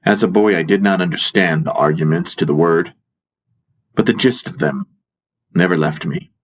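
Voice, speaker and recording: A man narrating a fantasy audiobook in the first person, solemn and measured, with pauses between phrases. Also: low voice, dull audio, slight background noise. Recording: music behind the voice. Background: music.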